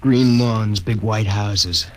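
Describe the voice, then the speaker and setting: An actor with an American accent playing a homesick, excitable spaceship crewman in a 1950s radio drama, folksy and earnest. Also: lowish voice, slow pace. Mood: nostalgic. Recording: noisy old 1950s radio recording.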